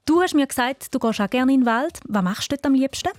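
High-voiced Swiss German woman hosting radio. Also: animated, fast talker. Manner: encouraging, chatty. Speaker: radio quiz show host. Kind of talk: chatty children's radio quiz presenting.

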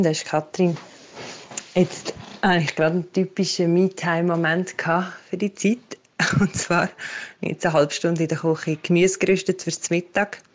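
A young woman, a podcast listener, talking casually in a voice message. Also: on the low side.

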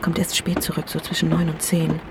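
An actress playing a scheming, manipulative wife in a radio crime drama, coaxing between pleading and breathy whispers. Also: female voice, low-pitched, completely flat delivery, fairly quick, background noise, lightly acted. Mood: calculating, hushed. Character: scheming, manipulative wife urging her lover toward murder.

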